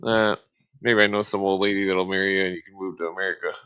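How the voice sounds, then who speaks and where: A man's voice: low voice, steady tone, quick pace, smooth, highs cut off. A man hosting a casual livestream chat, talking in a rambling, profane way. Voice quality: smoker's cough. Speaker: livestream host.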